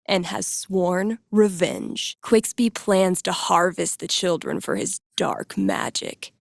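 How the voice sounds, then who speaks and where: An actress playing a young female game master in a video game, narrating dark fantasy in a suspenseful, theatrical way. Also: lowish voice, steady tone, slow pace, vocal fry, no room sound, clean studio sound, heavily acted. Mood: portentous. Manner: suspenseful, portentous.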